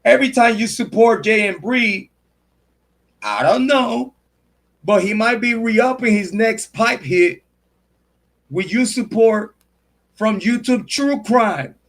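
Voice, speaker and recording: A man's voice: sits high, takes it slowly, pauses often, a bit bright. A man hosting a true crime livestream, ranting in a heated, profane, repetitive way and stopping to thank viewers by name. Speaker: true crime channel host. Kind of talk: livestream commentary rant.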